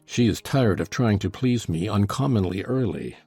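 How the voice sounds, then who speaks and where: An actor playing a scornful, cruel, brooding husband in a dramatized gothic novel, speaking with deliberate, sardonic contempt. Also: male voice, on the low side, animated, dark tone, husky, no room sound, soft background music. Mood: cold contempt. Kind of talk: dramatized audiobook acting.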